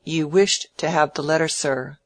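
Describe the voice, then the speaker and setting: Courteous young gentleman for an audiobook, played by the narrator: formal, proud, self-controlled. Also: mid-range voice, steady tone, normal speed, close to an everyday voice. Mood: stiff, restrained irritation. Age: young adult. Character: polite, proud young gentleman, restraining his irritation. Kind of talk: dramatised audiobook character.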